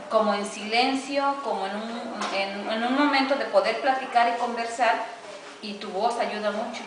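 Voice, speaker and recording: A woman observing a class and interviewing the teacher, attentive and affirming. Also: on the high side. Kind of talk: interview questions and feedback. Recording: echoey room, distant mic, noisy background.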